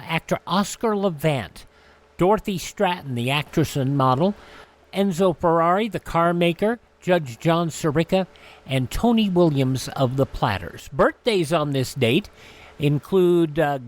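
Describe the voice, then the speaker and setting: A man hosting a radio show, reading through an almanac in an announcer-like way with casual asides. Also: fairly high pitch. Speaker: radio host.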